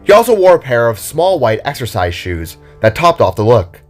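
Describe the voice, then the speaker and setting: Animated male story narrator, very varied pitch. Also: normal speed, faint music behind. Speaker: narrator and writer of audio drama. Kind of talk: scripted story narration, dramatic.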